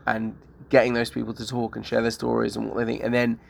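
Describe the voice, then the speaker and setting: A man talks loosely in a casual podcast chat. His voice has a gravelly, fry-edged texture, and his sentences start over and trail off as he thinks aloud. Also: medium pitch, completely flat delivery, quick pace. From Northwest London. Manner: rambling, many restarts and trailing sentences.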